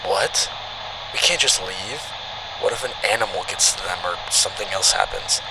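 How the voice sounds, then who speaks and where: A man acting as a steady, stubborn park ranger in a horror drama, matter-of-fact and insistent. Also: low voice, moderate pace, noisy background. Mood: insistent, reasoning.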